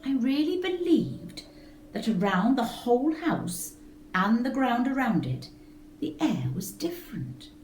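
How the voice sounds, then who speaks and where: A woman narrating a gothic story in a measured, expressive reading. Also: a little high, roomy sound, a little hiss or hum. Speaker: reader of a story. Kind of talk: scripted narration of a gothic tale.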